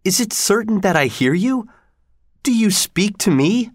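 A cruel sorceress queen from a storybook, acted out by a man, speaks in a raised, high pitch. She is lovesick and theatrical, imploring and imperious in her delivery. The sound is dry and close. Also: animated, a little slow, a little mellow, no echo. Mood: overjoyed.

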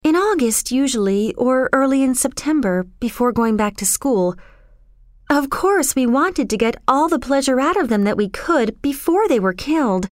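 A woman's voice: sits high, lively intonation, moderate pace, dry recording, studio quality, voice pushed up. A woman storyteller voicing a spoiled rich young girl, emotional and blithely callous, in a dramatic, pleading manner. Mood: blithe, matter-of-fact.

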